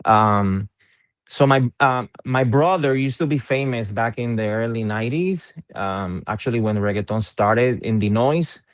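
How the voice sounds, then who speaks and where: A man's voice: medium pitch, measured pace, dry recording. A middle-aged man from Puerto Rico, an interviewed musician, talking in a casual conversation, hesitant and full of fillers and repeated words.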